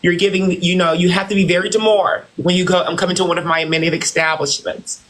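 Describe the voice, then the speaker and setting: A man's voice: sits high, little pitch movement, fast talker, fairly bright tone. A man playing a smug boss and CEO. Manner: condescending, calls others "dear" and "honey". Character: haughty self-styled boss and CEO, male. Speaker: livestream host in character. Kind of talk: improvised live-stream roleplay.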